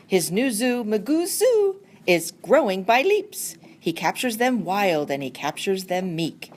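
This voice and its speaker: A woman's voice: medium pitch, big pitch swings, unhurried. A woman on staff reading a rhyming storybook aloud.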